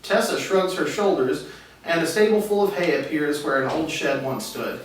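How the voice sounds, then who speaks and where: A man's voice: on the high side, moderate pace. A man reading stage directions as the narrator of a script table read. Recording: distant mic, echoey room, faint noise.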